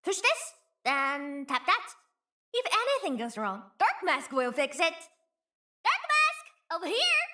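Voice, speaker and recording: This is a cheeky, greedy young chief from a game. The voice sits very high and bright, with lively swings in pitch. She talks at an unhurried pace and keeps a teasing, bouncy manner. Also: heavily acted. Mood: playful. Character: playful, greedy young female chief, mischievous. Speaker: voice actor. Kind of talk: game character voice lines.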